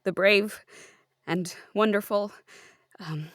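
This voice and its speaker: A woman playing an earnest, dim hospital worker with a halting, solemnly dramatic delivery in a comedy audio drama. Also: medium pitch, no room sound, studio quality, natural voice. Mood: mock solemn.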